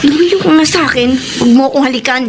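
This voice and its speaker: A woman's voice: high voice, fast talker, noisy background, lightly acted. A Filipino actress playing a hurt, reproachful wife confronting her husband in an emotional radio drama. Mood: angry, accusing. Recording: loud dramatic music under the voice.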